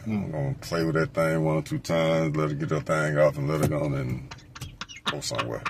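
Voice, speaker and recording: A man's voice: extremely low pitch, fairly even intonation, normal speed, gravelly. A man talking casually and emphatically, addressing women directly. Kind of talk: casual talk clip. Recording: noisy recording with traffic behind.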